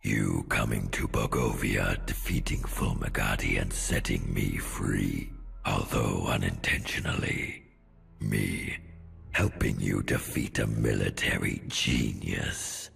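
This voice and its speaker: An actor playing a suave arch-villain in a video game, gravelly, gloating and mock-courteous. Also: male voice, very deep voice, fairly even intonation, slow, a bit bright, faint music behind, full character voice.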